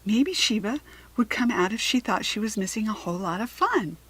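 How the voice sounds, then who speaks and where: A woman's voice: medium pitch, sing-song, moderate pace, fairly bright tone, slightly rough. A woman voicing an eager, caring young girl in a children's storybook, with earnest expression.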